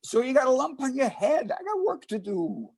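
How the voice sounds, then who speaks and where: A man's voice: extremely high pitch, big pitch swings, moderate pace, darkish tone, exaggerated voice. A man playing an exasperated but fond police detective in an old-time radio drama, talking in hectoring slang. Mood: impatient coaxing. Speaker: supporting actor in community theatre radio recreation.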